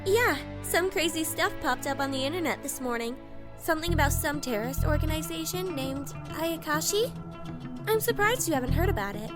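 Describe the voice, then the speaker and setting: A woman's voice: sits high, expressive, fairly quick, over music. A woman playing a friendly, chatty young intern in a fan audio drama.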